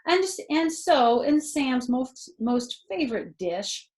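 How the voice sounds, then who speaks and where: Slow-paced woman reading to children. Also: high-pitched. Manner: expressive, explains words simply, asks listeners questions. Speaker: grandmother reading picture books to children. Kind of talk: children's storytime read-aloud with asides. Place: living room, indoors.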